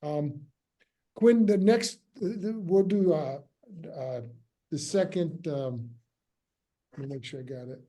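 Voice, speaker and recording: Male director at a table read, higher-pitched but very soft-edged, casual, halting delivery. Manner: hesitant, halting, trails off mid-sentence. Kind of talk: casual group discussion, giving notes.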